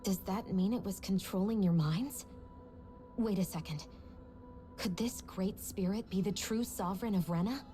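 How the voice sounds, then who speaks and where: A mellow-voiced young woman played by an actress in a game cutscene. She is an analytical, inquisitive adventurer who speaks articulately, with soft music behind her. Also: medium pitch, moderate pace, close to an everyday voice. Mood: inquisitive, reasoning. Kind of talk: video game cutscene acting.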